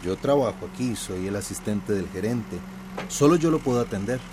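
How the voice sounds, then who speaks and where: A man's voice: on the low side, lively intonation, normal speed, noisy background, lightly acted. A man playing a formal, evasive manager's assistant in a radio drama, polite but defensive. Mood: formal, placating.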